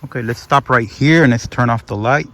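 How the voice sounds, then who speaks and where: A man's voice: medium pitch, a little fast, fairly bright tone, slight background noise. A man giving a casual, unscripted hands-on demonstration as a product reviewer, narrating each step and often repeating himself.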